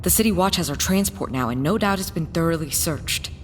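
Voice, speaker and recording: An actress playing an earnest, defiant smuggler in an audio drama, speaking in a blunt and serious way. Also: female voice, expressive, brisk, close to an everyday voice. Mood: urgent, serious. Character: earnest, defiant working-class woman smuggler on the run. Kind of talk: scripted audio drama acting. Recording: long reverb, faint rumbling background noise.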